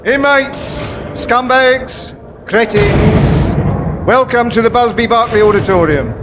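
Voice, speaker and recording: A man's voice: very high-pitched, slow pace, loud music behind the voice. A man playing a pompous, contemptuous prison governor in a live stage comedy. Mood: pompous contempt. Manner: pompous, officious speechmaking. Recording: very noisy live stage recording.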